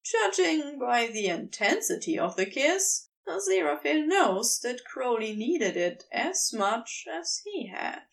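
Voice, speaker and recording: A woman reading scripted fiction aloud as an expressive story narrator. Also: high voice, slow pace, gravelly, hardly any pauses, distant mic. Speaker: podfic reader.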